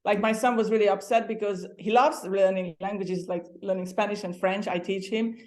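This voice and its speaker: A woman's voice: medium pitch, fairly even intonation, normal speed. A woman from Hungary with a Hungarian accent, a guest chatting casually in an interview, laughing often and restarting her sentences now and then.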